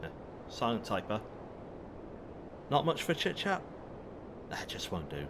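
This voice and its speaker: Male actor as flirty, trigger-happy space outlaw; swooping teasing delivery, rumbling noise behind. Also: huge pitch swings, unhurried. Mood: wry, taunting. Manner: playful, teasing, theatrical. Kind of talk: audio drama acting.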